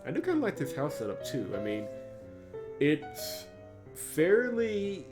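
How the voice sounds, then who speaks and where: A man's voice: on the high side, huge pitch swings, unhurried, over music. A man giving casual commentary as he plays through a game as host. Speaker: gaming video host. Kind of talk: casual game commentary and read-aloud of visual novel dialogue.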